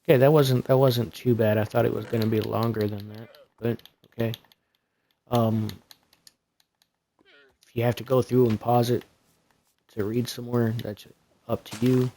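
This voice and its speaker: A soft-spoken man streaming a building game, talking in a casual, rambling way with lots of fillers as he walks through his build. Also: medium pitch, flat delivery, normal speed, vocal fry, long pauses, a little hiss or hum.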